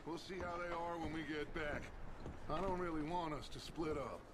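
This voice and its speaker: An actor playing a middle-aged American gang leader in a video game, giving orders in a measured, authoritative and protective way. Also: male voice, fairly high pitch, steady tone, noisy background, close to an everyday voice. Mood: calm, commanding. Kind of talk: dramatic video game cutscene acting.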